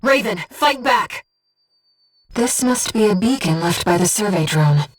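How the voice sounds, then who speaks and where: A woman voicing a disembodied female guide who speaks in a pilot's head as mission operator in a video game, with a soft, breathy voice and a precise, earnest delivery. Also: mid-range voice, animated, measured pace, distant mic. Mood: desperate, defiant. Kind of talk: scripted video game voice lines, mission briefings and battle callouts.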